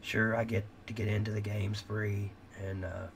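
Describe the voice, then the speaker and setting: A man's voice: lowish voice, flat delivery, normal speed, vocal fry, a little hiss or hum. A middle-aged man hosting a late-night livestream, talking in a rambling, candid way with swearing, and his voice is giving out. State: losing his voice. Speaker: livestream host.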